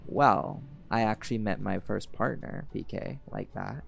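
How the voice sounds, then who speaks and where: A man's voice: lowish voice, a little slow, vocal fry, slight background noise. An actor voicing a laid-back, teasing male jaguar shifter in an audio roleplay, blunt and flirtatious, with music playing behind.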